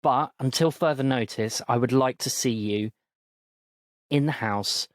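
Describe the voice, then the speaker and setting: A man's voice: mid-range voice, a little slow, no echo, clean studio sound, close to an everyday voice. A man playing a stern lecturing dad. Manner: firm and lecturing. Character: stern, strict middle-aged father.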